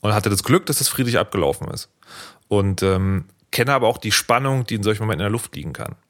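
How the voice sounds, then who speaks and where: Low-voiced hesitant German man. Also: lively intonation, fast talker. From former East Germany. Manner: hesitant, many fillers and restarts, thoughtful. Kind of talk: casual discussion.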